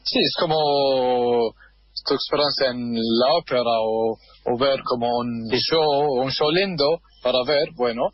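A man from Kuwait, a guest on a radio interview, speaking hesitantly with many pauses while he searches for words. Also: mid-range voice, dry recording, muffled recording. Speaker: guest, football fan. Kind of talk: radio interview over a line.

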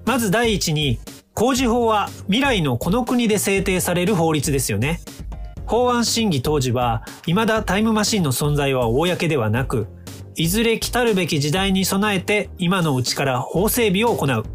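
An actor in a radio drama playing a calm, smug inventor from the future, polite and lecturing in a self-assured way. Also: male voice, high-pitched, moderate pace, soft background music. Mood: coolly confident.

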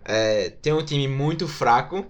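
A man's voice: medium pitch, lively intonation, slow pace, lo-fi sound. A man with a Brazilian accent giving casual football commentary, often repeating and correcting himself. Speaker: football podcast panelist.

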